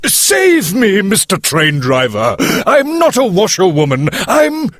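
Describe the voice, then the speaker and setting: A man voicing a vain, excitable male toad on the run in a storybook, exclaiming melodramatically. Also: on the high side, drawn-out words, gravelly, breathy edge, dry recording, clean studio sound, voice pushed up, heavily acted. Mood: panicked boasting.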